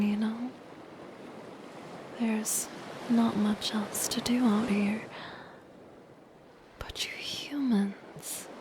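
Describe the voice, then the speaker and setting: A woman voicing a lonely, curious, softly menacing siren in a breathy, whispered, gently lilting ASMR roleplay. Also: on the high side, noisy background. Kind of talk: soft-spoken ASMR roleplay.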